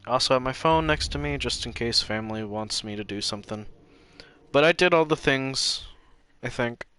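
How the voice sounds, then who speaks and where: A man's voice: mid-range voice, moderate pace, sharp tone, smooth. A man chatting casually and a bit ramblingly to viewers while livestreaming a video game. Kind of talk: casual chat to stream viewers.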